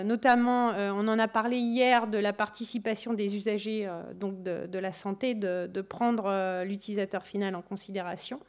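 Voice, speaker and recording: Woman presenter, mid-pitched voice, stop-start delivery full of hesitations. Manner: hesitant, heavy fillers and restarts. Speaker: conference presenter. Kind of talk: conference presentation.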